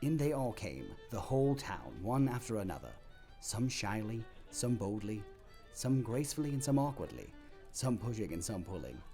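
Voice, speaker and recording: A man narrating a classic story expressively and theatrically, with a husky voice. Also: lowish voice, sing-song, normal speed, mellow, faint music behind.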